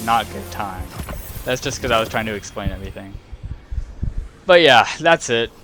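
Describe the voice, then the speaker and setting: Casual young gamer guy, loud music behind. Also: fairly low pitch, animated, normal speed. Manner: casual, swears, says "dude" a lot, talks to himself. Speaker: gaming video creator. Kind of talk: casual gameplay commentary. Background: loud game music.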